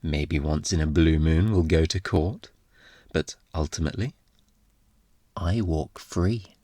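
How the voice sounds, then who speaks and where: A man playing an obnoxiously flirty, smug thief in an intimate ASMR roleplay, teasing in a playful, theatrical, drawn-out way. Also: a bass voice, warm tone, dry recording, heavily acted. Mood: smug, seductive.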